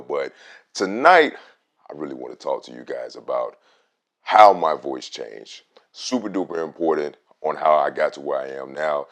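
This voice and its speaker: A man with a very deep, rich voice, a radio host telling a casual story about his own voice. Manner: animated, swings loud on emphasis.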